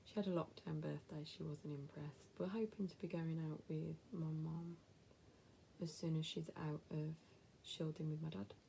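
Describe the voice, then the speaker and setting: A woman's voice: low-pitched, steady tone, normal speed, gravelly, warm tone, slight background noise. A woman in her thirties with a West Midlands accent, chatting casually to camera as she answers viewer questions, blunt and with whispered asides. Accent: West Midlands English. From England.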